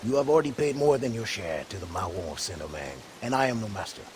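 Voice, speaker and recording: A man plays a thoughtful rebel adviser in a video game drama. His mid-pitched voice moves in lively swings, earnest and deferential, with faint rain and water noise behind. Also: fast talker, close to an everyday voice. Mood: earnest, advising. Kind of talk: video game cutscene acting.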